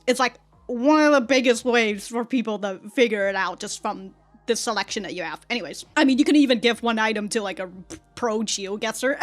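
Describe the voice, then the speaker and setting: A woman giving casual reaction commentary as a host, with slangy, giggly, animated asides. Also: on the high side.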